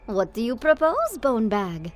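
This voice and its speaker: A woman voicing a proud, feisty young girl singer in an animated film, defiant and quick to bristle. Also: fairly high pitch, soft background music.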